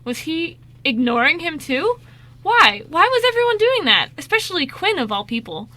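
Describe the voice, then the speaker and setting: A woman's voice: extremely high pitch, sing-song, normal speed, sharp tone. A woman narrating a scripted radio drama, reading expressively.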